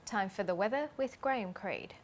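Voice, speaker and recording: A woman's voice: mid-range voice, expressive, normal speed. A woman with an Australian accent reading scripted news as a presenter.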